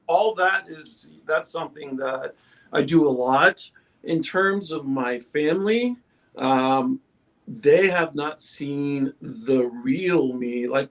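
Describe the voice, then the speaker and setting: A middle-aged, male-sounding panelist shares personal reflections in a casual group talk. The voice sits at an ordinary pitch and moves slowly, with a halting, thoughtful delivery.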